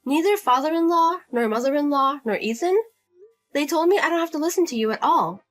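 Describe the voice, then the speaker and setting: A woman's voice: lively intonation, a little fast, soft background music. A woman voicing a fed-up young wife who sharply and defiantly refuses her brother-in-law, in a voice-acted drama story. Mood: disgusted. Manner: sharp, repetitive refusals, high-pitched.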